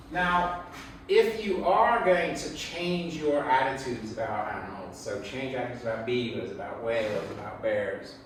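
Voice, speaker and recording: A middle-aged man with an English accent speaks to a room in an informal, reflective lecture style. His voice sits at an ordinary male pitch. It is picked up from a distance, with heavy echo and faint noise. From England. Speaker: speaker giving a public talk. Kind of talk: conversational slide lecture. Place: indoor talk venue before an audience.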